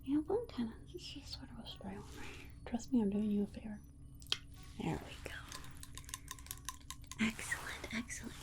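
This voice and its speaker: A woman playing a polite, condescending alien scientist in an ASMR roleplay, whispering in a soothing, coaxing manner with breathy delivery. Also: high voice, warm tone, slight background noise. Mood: coaxing, reassuring. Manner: soothing, coaxing, hesitant asides. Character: alien scientist, polite, reassuring, breezily condescending toward humans.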